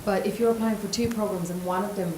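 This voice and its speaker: A woman's voice: mid-range voice, steady tone, fast talker. A woman, a prospective applicant, asking a careful, detailed question from the audience. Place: university event hall. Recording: roomy hall with clear noise.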